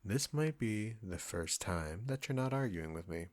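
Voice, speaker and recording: A man acts out a condescending male chef with a low voice. He is cocky and teasing, trading barbs with an old rival. The delivery is intimate audio roleplay, aimed straight at the listener. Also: animated, unhurried. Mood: grudgingly fond. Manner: smug, bantering, teasing.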